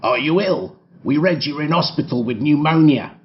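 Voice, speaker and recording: A man's voice: a little high, expressive, moderate pace, highs cut off, lightly acted. A man reading an audiobook memoir, voicing a blunt, nosy and gossipy male tabloid photographer. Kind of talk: acted quotes in a memoir audiobook.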